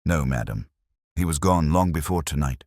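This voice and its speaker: Guy doing a stern detective in an audiobook, super low voice, clipped and formal, close dry sound. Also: lively intonation, normal speed, darkish tone, no echo. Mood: grim, urgent. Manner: clipped, formal, grimly controlled. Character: stern, precise, commanding male detective.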